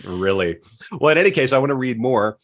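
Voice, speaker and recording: A man hosting a podcast in casual talk, with a wry, joking manner and halting pauses. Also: mid-range voice, sing-song. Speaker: podcast host.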